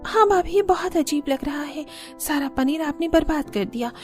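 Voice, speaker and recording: A pert young student sister-in-law, played by a woman in a cartoon story. She is critical and outspoken in a very high voice, with light music behind. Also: lively intonation, moderate pace. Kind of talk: Hindi cartoon story dubbing.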